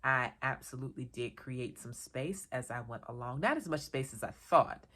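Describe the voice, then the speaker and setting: A woman vlogger in casual solo chat, talking in a relaxed, chatty, wandering way, a little tired and congested from a cold. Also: a bass voice, normal speed. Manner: chatty, relaxed, digressive with small laughs. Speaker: vlogger and author.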